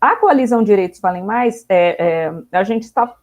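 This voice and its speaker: A woman's voice: medium pitch, lively intonation, moderate pace, a little mellow. A woman economist from São Paulo who is a guest on the show and talks in a friendly, chatty way. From São Paulo, Brazil. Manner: friendly, chatty, laughs easily. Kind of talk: casual chat.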